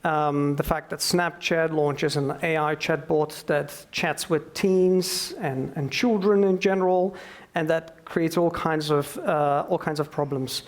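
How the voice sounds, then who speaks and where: A man's voice: a little high, unhurried. A man from Slovakia, a law professor, giving a lecture to a meetup audience, with frequent "um" fillers. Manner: lively, many "um" fillers. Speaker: guest speaker, law professor. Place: event venue.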